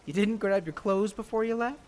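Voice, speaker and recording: A man's voice: high-pitched, brisk, a little mellow, a little hiss or hum, voice pushed up, lightly acted. A man voicing a blunt, mouthy young gang member with a mocking, straightforward manner in an audiobook. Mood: incredulous. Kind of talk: audiobook character voice.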